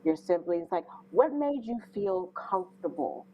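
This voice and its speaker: A woman's voice: fairly low pitch, very animated, moderate pace, muffled recording, slight background noise. A woman co-hosting an interview, speaking earnestly and thoughtfully with a big voice and many fillers. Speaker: co-host. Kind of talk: interview questions.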